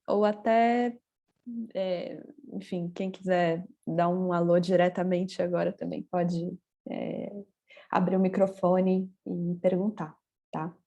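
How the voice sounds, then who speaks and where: A woman's voice: lowish voice, flat delivery, slow pace, warm tone, lo-fi sound. A woman moderating an online discussion over a video call, with lots of hesitant fillers.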